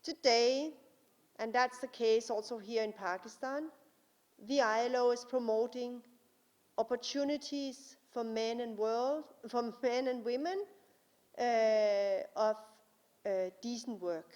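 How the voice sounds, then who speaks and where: Slow-spoken woman with a Danish accent. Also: on the high side, a little mellow. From Denmark. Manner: deliberate, slow and careful, with frequent uh pauses. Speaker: guest speaker. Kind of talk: formal conference speech. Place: large conference hall. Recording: long hall reverb.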